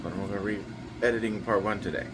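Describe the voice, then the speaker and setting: A man's voice: on the low side, steady tone, fast, background noise. A young man chatting casually through his daily vlog plans, a little nasal and rambling with lots of fillers. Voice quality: slightly nasal. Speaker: vlogger.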